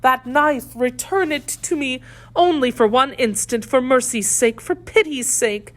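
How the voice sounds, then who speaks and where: A woman's voice: high-pitched, a little slow, pitched above the performer's own voice. A woman playing a captive noblewoman who implores with feigned pious despair in a dramatic audiobook reading. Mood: frantic begging. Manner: melodramatic, imploring.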